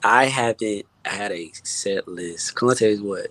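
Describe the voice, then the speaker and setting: A young adult man chatting casually as an interview guest, earnest and halting, often repeating his phrases. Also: lowish voice, a bit bright. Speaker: interview guest, music producer. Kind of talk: casual chat.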